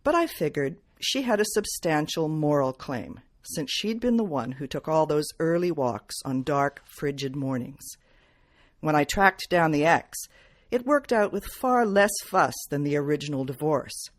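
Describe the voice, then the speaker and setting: A woman reading first-person detective fiction aloud as its author, in measured, clearly phrased narration. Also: deep voice, lively intonation. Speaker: author reading from own novel.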